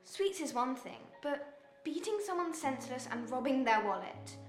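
A woman acts a young sister in an amateur audio drama. Her voice is high and swings with feeling as she reproaches and pleads, hurt and earnest. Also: expressive, normal speed, faint music behind. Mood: dismayed.

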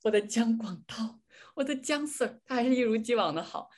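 A woman's voice: fairly high pitch, lively intonation, a little fast, a little mellow. A woman hosting a podcast in casual chat, talking with a fan's giggly enthusiasm. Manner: giggly, enthusiastic fan talk. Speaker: podcast host. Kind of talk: casual chat among friends.

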